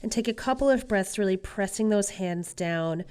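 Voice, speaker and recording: A woman's voice: medium pitch, normal speed, a little mellow, smooth. A woman yoga instructor getting over a cold, guiding a class in a casual, reassuring way. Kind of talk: guided exercise class instruction.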